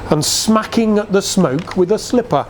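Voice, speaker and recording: A man reading a literary story aloud in a dramatic, measured storytelling manner. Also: high-pitched, expressive, slight background noise. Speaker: reader. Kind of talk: expressive literary reading of a nostalgic Christmas story.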